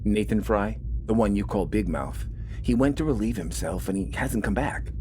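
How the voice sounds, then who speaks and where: A man's voice: fairly low pitch, normal speed, mellow, a little hiss or hum, pitched above the performer's own voice, lightly acted. A man voicing an earnest, uneasy young soldier in an audio story, hesitant and worried.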